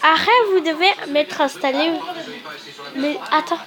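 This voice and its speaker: A woman's voice: high voice, unhurried, crowd noise. A woman with a cold and a sore throat giving a chatty, rambling how-to tutorial as a YouTuber, often correcting herself.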